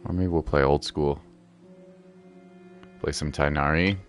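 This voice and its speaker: A man's voice: a bass voice, fairly even intonation, unhurried, faint music behind. A man giving casual, playful gameplay commentary as a streamer, often repeating his phrases. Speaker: game streamer.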